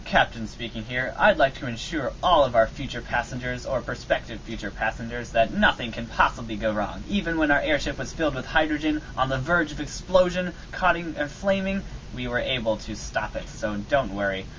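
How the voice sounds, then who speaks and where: A man's voice: medium pitch, animated, brisk, few pauses, pushed and bright. Man as an earnest airship officer, dull-sounding recording. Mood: confident. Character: earnest, reassuring, hapless airship captain and crew man. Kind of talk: amateur old-time radio comedy acting. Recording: dull, noisy amateur radio recording.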